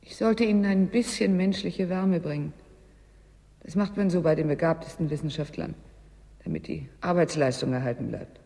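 A woman's voice: sits low, moderate pace, vocal fry, dark tone. An actress playing an anxious, plain-spoken woman in a radio drama, with an intimate way of talking. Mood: quiet, confessional. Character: anxious woman trapped under ice, confessing. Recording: long cavernous reverb.

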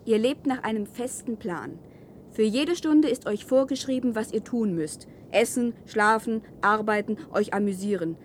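A woman's voice: on the high side, normal speed, a little hiss or hum, natural voice. An actress playing a defiant woman settler in a German science-fiction radio play, speaking in a pointed, challenging way. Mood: defiant, explaining. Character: defiant woman settler of an outlaw wilderness colony.

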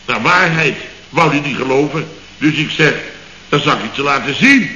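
A man's voice: fairly high pitch, moderate pace, sharp tone. An actor playing a blustering, exasperated boss of a building firm, who rants and mockingly mimics his staff in a 1960s radio comedy. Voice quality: sarcastic, ranting, mimics others mockingly. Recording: roomy dull old radio recording with faint noise.

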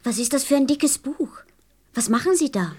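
A woman's voice: high-pitched, animated, normal speed, a little mellow. A woman playing a curious, innocent little boy prince in a radio play, earnest and questioning.

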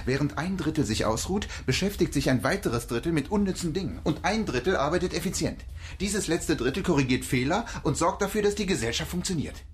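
A man's voice: on the high side, animated, a little fast, lightly acted. An actor playing a pensive, curious man who leads a starship colony in a radio drama. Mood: persuasive. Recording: clear background ambience noise.

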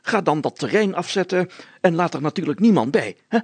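A pompous village mayor from a children's story, high and rough-edged. He stutters and splutters sneezily, creaking as he swoops through flustered orders. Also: expressive, fairly quick, dry recording. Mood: bossy, hurried.

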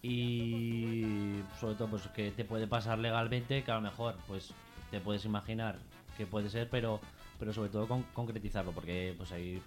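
A man's voice: fairly low pitch, steady tone, normal speed, faint music behind. A man with a Peninsular Spanish accent speaking informally as an activist guest in a radio interview, hesitating, rambling and repeating himself.

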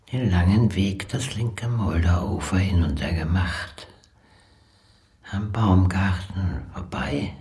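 An actress playing an elderly woman who recalls wartime losses in a measured, grave radio drama narration with long pauses. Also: a bass voice, darkish tone, full character voice. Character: elderly Czech woman Vera recalling her friend's wartime deportation.

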